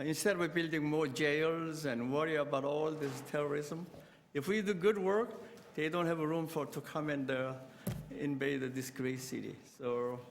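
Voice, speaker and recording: An older man from South Korea speaks, his voice a little high for a man. He talks in an earnest, halting way, filling gaps with "uh" as he addresses a council committee. The sound carries the long reverb of a council chamber. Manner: halting, many fillers and restarts, earnest. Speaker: city councillor. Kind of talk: committee meeting speech.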